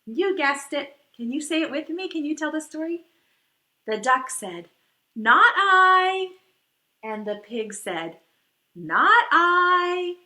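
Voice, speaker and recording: A woman's voice: sits high, lively intonation, moderate pace, fairly bright tone. A woman telling a story to preschoolers as their teacher, in an exaggerated singsong manner that invites listeners to join in. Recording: echoey room.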